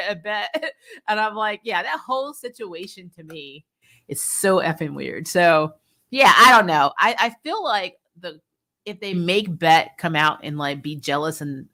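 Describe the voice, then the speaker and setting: A woman reviewer giving a casual episode recap. Her voice sits a little low for a woman, with a bright, forceful edge. She talks informally and with plenty of slang, like someone chatting to regular viewers. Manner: informal, slangy, chuckles often. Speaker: host of a TV episode review show.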